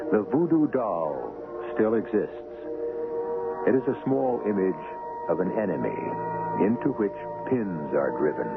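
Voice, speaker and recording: Over a tinny old radio recording with loud music, a male host narrates a drama. His low voice is gravelly, measured and theatrical.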